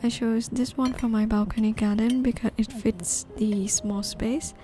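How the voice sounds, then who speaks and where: A woman from northeastern Thailand, gently narrating a gardening video in a measured, explanatory way. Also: a little high, steady tone, faint music behind. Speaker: gardening vlogger. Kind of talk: calm scripted voice-over narration.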